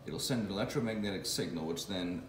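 A man's voice: deep voice, fast talker, echoey room, a little hiss or hum. A man casually talking through his motorcycle wiring in a home garage, thinking aloud with fillers and restarts, recorded close on a phone camera. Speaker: vlogger building an electric motorcycle. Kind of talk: casual workshop walkthrough.

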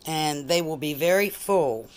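A woman's voice: low-pitched, a little slow. Chatty woman touring her table. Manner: chatty, walks the viewer through each dish. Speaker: collector showing a buffet table. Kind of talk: casual show-and-tell vlog. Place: home dining room, indoors. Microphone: handheld phone camera.

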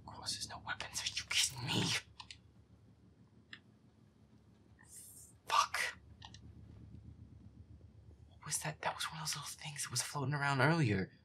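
A man's voice: on the high side, fairly even intonation, quick pace, pushed and bright. Feisty, whispery, sweary gamer boyfriend, acted by a man. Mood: tense, annoyed. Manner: sweary, exasperated muttering. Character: feisty, foul-mouthed boyfriend grumbling through a scary game. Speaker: audio roleplay performer. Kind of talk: casual gaming commentary in boyfriend audio roleplay.

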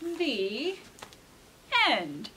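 Young woman, children's storyteller, high pitch, bright tone, expressive, deliberate, emphatic dramatic reading. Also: slight background noise. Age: young adult. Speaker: library storyteller. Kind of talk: dramatic read-aloud for children. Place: indoor library room with bookshelves.

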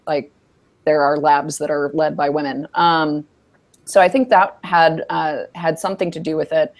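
A woman in her thirties from New York, answering on a panel over a video call from an office, casual and reflective with many fillers. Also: deep voice. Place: office with bookshelves. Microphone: earbud headset on a video call.

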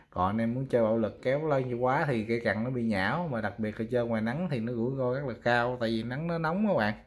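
A man with a Southern Vietnamese accent casually showing goods for sale, talking informally to viewers as friends. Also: medium pitch. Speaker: fishing rod seller presenting products. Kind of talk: casual product showcase.

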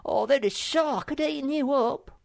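A woman voicing a small, timid and bossy male creature in a storybook reading, squeaky and fretful. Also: normal speed, gravelly.

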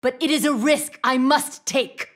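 A woman's voice: high-pitched, fairly even intonation, measured pace, dry recording, studio quality. A woman playing an imperious young student council leader in an anime fighting game, commanding, haughty and formal with steely resolve. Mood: grave, calculating.

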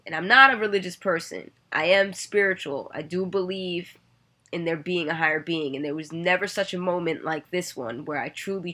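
A woman's voice: sits low, fairly bright tone. A woman in her thirties telling a personal story to her webcam from a bedroom, earnest and reflective, with many "you know" fillers. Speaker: vlogger. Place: bedroom, indoors. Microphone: webcam at desk distance.

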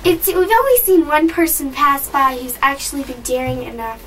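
A woman's voice: high voice, moderate pace, a crowd in the background. A teenage girl riding a mountain gondola, chatting nervously and telling herself to keep looking at the floor. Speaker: older kid on a family trip. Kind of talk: casual chat. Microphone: camcorder a few feet away. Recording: distant camcorder audio with wind noise.

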